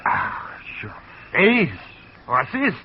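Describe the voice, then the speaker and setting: An actor playing a hesitant, excitable rural man in an old radio drama, his voice wavering. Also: high voice, drawn-out words, slight background noise, full character voice. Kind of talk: 1940s radio acting. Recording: dull old radio recording.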